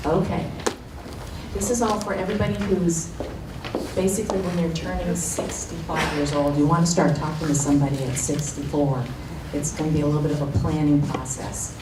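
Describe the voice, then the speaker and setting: Woman presenter, soft-spoken, low voice, informal talk, echoey meeting room, distant mic, background noise. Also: steady tone, brisk. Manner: soft-spoken, nervous at the start. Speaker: guest speaker.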